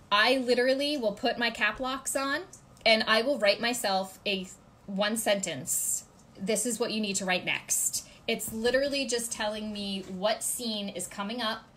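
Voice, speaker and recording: A woman in her thirties with a congested voice, giving casual writing tips to camera from her home office, chatty and full of ums and quick check-ins. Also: medium pitch, a bit bright, slight background noise. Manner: chatty, gestures a lot, many ums and "okay?" check-ins. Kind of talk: casual instructional vlog to camera. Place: home office with ceiling fan, indoors.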